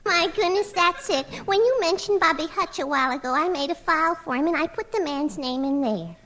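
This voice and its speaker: An actress playing a scatterbrained, childlike young secretary in a 1940s radio comedy, naive and muddled. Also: female voice, very high-pitched, lively intonation, a little fast, fairly bright tone. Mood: proudly clueless. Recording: noisy old 1940s radio recording.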